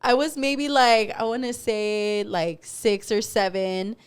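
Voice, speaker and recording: A young woman co-hosting a podcast, telling stories in a lively way, acting out quotes and dropping into Spanish. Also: medium pitch, slow. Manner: animated storyteller, acts out quotes, code-switches to Spanish. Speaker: podcast co-host. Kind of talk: casual storytelling chat.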